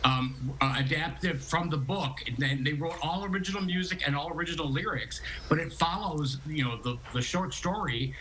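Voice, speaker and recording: Bright, higher-voiced enthusiastic male reporter. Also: normal speed, slight background noise, poor audio. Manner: enthusiastic, emphatic. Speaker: arts reporter. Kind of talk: unscripted studio chat.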